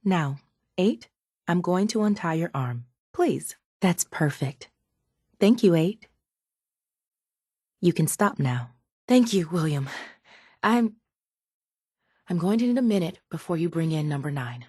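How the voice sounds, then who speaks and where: Game role: sardonic, calculating female raider scientist, slightly low register, cool measured delivery, very dry studio sound. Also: animated, darkish tone, husky, dry recording. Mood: clinical, cold. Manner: dry, measured, faintly condescending. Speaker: video game character voice actor. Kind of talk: scripted video game dialogue.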